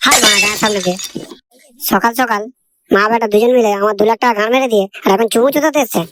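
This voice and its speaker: A performer voicing a grumpy, foul-mouthed middle-aged father in a comedy cartoon, gruff, scolding and sarcastic. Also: medium pitch, quick pace, fairly bright tone, slight background noise, lightly acted. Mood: exasperated.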